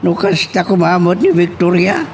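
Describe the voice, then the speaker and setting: Man acting creaky-voiced, worried old father. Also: high voice, sing-song, moderate pace, a little mellow. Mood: worried, resigned. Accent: Ilocano. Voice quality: creaky, gravelly. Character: grave, worried old father advising his daughter. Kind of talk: Ilocano audio drama acting. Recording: clear background hiss and noise.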